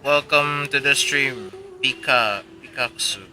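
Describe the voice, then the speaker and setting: A man's voice: medium pitch, slow pace, bright, forceful tone, slight background noise. A young man chatting casually on a live game stream with friends, slangy, rambling and jokey. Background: faint game music.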